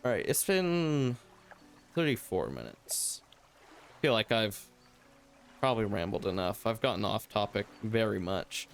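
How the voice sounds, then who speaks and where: A man's voice: fairly low pitch, big pitch swings, a little slow, long pauses, soft background music. A young man hosting a solo talk show, rambling loosely and reflectively, his voice slightly worn from hours of talking. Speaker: podcast host.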